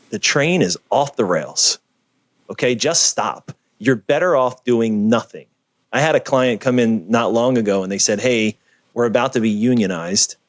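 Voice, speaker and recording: A man's voice: lowish voice, no echo. Man, American, interview guest on a video call; chatty storyteller, dry close sound. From United States. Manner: animated storyteller, frequent "right?" tags. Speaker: guest, business video producer. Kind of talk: conversational interview.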